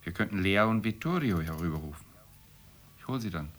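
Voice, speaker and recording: An actor playing a weary, grumbling journalist husband from middle-class Rome, terse and morose, in a radio play. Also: male voice, low voice, lively intonation, a little fast, soft background music. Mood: grumpy. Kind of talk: radio play dialogue acting.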